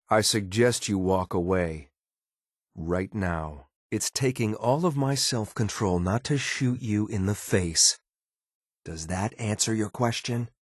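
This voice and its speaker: An actor playing a stern, formal, dutiful synth soldier in a video game, speaking in a clipped, controlled military manner. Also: male voice, on the low side, no echo, studio quality. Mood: cold, contemptuous. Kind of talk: video game companion voice lines.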